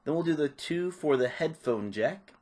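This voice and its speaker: A man's voice: on the high side, lively intonation, moderate pace, a little mellow. Man casually narrating a how-to. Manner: informal, step-by-step instructing. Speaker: repair tutorial presenter.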